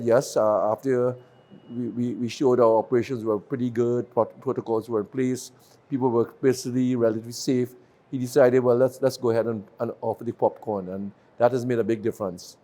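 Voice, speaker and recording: A man's voice: mid-range voice, warm tone. A man from Guyana, answering interview questions in a rambling way, full of restarts and fillers. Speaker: businessman interviewee.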